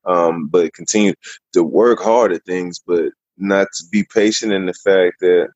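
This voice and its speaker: A man answering a podcast interviewer's questions in an easygoing, measured way, pausing often as he thinks. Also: deep voice, fairly even intonation, darkish tone, dry recording, highs cut off. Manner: easygoing, measured, with frequent pauses. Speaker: podcast guest.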